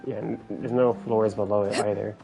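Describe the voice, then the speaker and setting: A man's voice: fairly low pitch, flat delivery, normal speed, vocal fry, dark tone, poor audio. A man giving casual live gameplay commentary, musing aloud in short, slangy bursts. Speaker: game streamer. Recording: game music faintly behind. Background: video game music.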